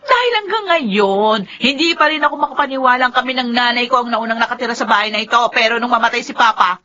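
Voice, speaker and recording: A woman's voice: a little high, expressive, quick pace, sharp tone. A woman playing a bitter, combative mother in a Filipino radio drama, delivering her lines with confrontational emotion. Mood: bitter, accusing. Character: bitter, combative mother, scornful and quick to fury. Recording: dull lo-fi radio drama audio.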